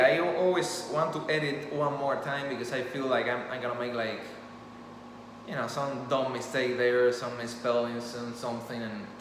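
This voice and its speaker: A man whose first language is Spanish, talking off the cuff to his phone camera about his storytelling channel, earnest and rambling, often restarting his sentences. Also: mid-range voice. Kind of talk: impromptu talk to camera. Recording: echoey room with clear background noise.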